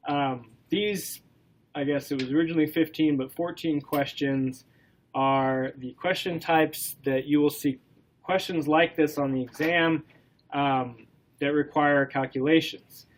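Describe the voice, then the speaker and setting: A man with a cold and a stuffy nose, giving a classroom lecture in a relaxed way, with many ums and questions to the class. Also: on the high side, unhurried, slight background noise, rough recording. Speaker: college instructor.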